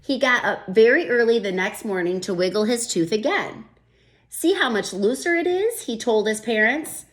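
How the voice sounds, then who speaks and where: A woman's voice: a little high, moderate pace. Woman reading a picture book aloud. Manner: gentle, expressive storybook reading with thinking-aloud asides. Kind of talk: children's read-aloud with comments.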